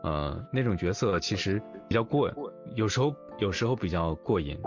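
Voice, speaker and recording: A man who voice-acts in audio dramas, chatting casually in an interview in a hesitant, thoughtful, halting way. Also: low voice, expressive. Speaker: amateur audio drama voice actor being interviewed. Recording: dull lo-fi voice chat with music. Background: music.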